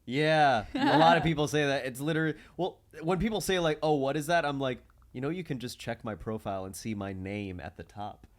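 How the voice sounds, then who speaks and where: A man chatting casually as a podcast host, animated and quick to laugh. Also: mid-range voice.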